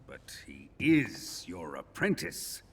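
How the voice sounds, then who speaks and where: An actor playing a sadistic middle-aged military colonel in a video game, gravelly, menacing and theatrical in a commanding way. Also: male voice, low voice, wildly swooping pitch, takes it slowly, background noise, heavily acted. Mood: cold, menacing. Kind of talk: dramatic video game cutscene acting.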